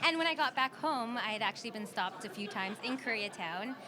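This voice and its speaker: Actress guest from Vancouver, slightly high, soft and giggly, crowd noise around. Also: female voice, expressive, a little fast. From Vancouver, Canada. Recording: crowd chatter behind.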